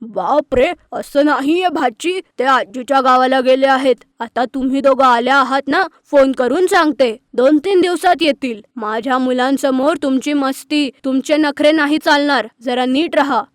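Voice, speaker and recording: A woman's voice: high voice, normal speed, few pauses, no echo, natural voice. Woman playing an exasperated cartoon aunt, dry sound. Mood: scolding. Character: exasperated aunt minding two mischievous young nieces. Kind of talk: animated moral story dialogue.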